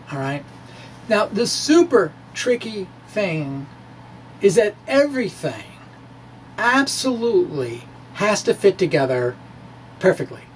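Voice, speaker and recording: A middle-aged man explaining physics informally to camera in a halting, conversational way with animated emphasis. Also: high voice. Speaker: science video blogger. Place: small indoor room with bookshelves. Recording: clear steady background noise.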